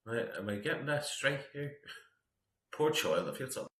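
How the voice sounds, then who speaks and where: A man's voice: mid-range voice, expressive, a little slow, a bit bright. A man, likely middle-aged or close to it, giving casual, opinionated off-the-cuff commentary as a disapproving reaction host. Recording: roomy, echoey sound.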